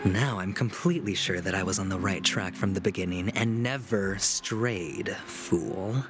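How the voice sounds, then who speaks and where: An actor playing a smug young male gambler and schemer in a video game, with a teasing, grandiose, drawn-out delivery. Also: deep voice. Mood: confident, defiant. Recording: music under the voice.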